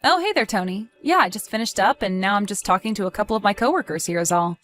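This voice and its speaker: A woman's voice: mid-range voice, lively intonation, fast talker, fairly bright tone, faint music behind, natural voice. A woman acting an evasive wife in a dramatized story, sweet but easily flustered and indignant, with theatrical expression. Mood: friendly. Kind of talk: dramatized text-message story narration.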